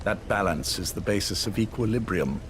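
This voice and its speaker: An actor playing a commanding, scheming military major in a video game, with an authoritative, lecturing manner. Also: male voice, on the low side, lively intonation, normal speed, lightly acted. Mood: dismissive, calculating. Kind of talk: dramatic video game voice acting. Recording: faintly noisy cassette-style audio.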